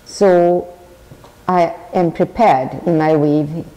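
Older Swedish-accented woman, earnest and halting, low warm tone. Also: fairly even intonation, big-room sound, rough recording. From Sweden. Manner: thoughtful, earnest, halting restarts. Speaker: tapestry artist. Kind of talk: interview answers. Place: large weaving studio with looms.

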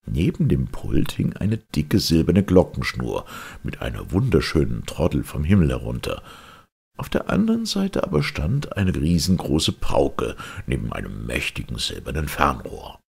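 A man reading a children's story aloud as an expressive narrator. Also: very deep voice, moderate pace, vocal fry, breathy edge. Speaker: storybook reader.

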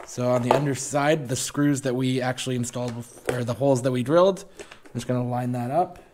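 A young man casually walking viewers through installing a snowmobile accessory in a garage workshop, relaxed and plain-spoken with many fillers. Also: mid-range voice, brisk, a little hiss or hum. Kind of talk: casual step-by-step install walkthrough.

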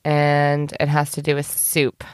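A woman with a lowish, smooth, clear voice plays along in a podcast quiz. She guesses out loud and jokes easily with the host. The sound is close and dry. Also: monotone, a little slow, no echo. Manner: playful, thinks out loud. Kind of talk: casual chat, guessing answers aloud.

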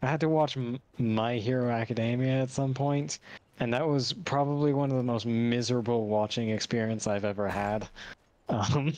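A man's voice: medium pitch, fairly even intonation, normal speed, darkish tone, dry recording. Rambling young man on a gaming stream. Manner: rambling, self-deprecating, hesitant restarts. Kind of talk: casual gaming stream chat.

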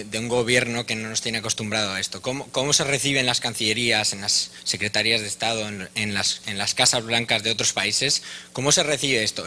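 A young man from asking a long, halting question from the audience of a conference hall, on a handheld mic. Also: mid-range voice, bright, forceful tone. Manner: halting, many restarts. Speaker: journalist in audience.

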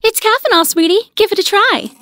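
Woman as a high-voiced radio-ad housewife. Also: fairly quick, bright, forceful tone, dry recording, studio quality. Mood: cheerful, persuasive. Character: cheerful housewife pitching coffee in an old commercial. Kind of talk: vintage radio advertisement.

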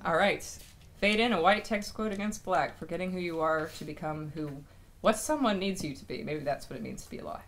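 A woman's voice: fairly low pitch, a little fast. A woman reading out scene headings and stage directions at a script table read. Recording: noisy room.

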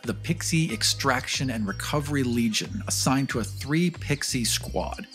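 A man's voice: mid-range voice, lively intonation, moderate pace, a bit bright. A man narrating a tabletop game story as game master. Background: music.

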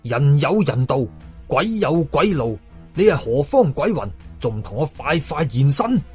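A stern Taoist exorcist played by a male storyteller in a horror audio story, with a husky voice and Hong Kong Cantonese accent. He is learned and commanding, intoning his words like incantations. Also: on the high side, very animated, measured pace, darkish tone, faint music behind, heavily acted. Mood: cold, commanding.

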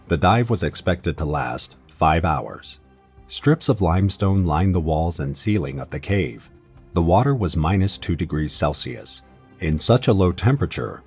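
A man narrating a scripted documentary in a measured, serious storytelling manner. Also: low-pitched, darkish tone, breathy edge.

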